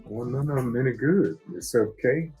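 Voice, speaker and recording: A man's voice: mid-range voice, moderate pace, warm tone, breathy edge. A man co-hosting a casual chat about a TV drama, laid-back, often restarting his sentences. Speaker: co-host of a TV discussion show. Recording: faint music behind.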